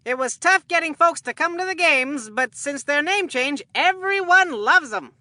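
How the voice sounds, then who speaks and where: A woman voicing an excitable, food-loving boy baseball commentator in a cartoon game, with a folksy, earnest showman delivery. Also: high-pitched, normal speed, dry recording.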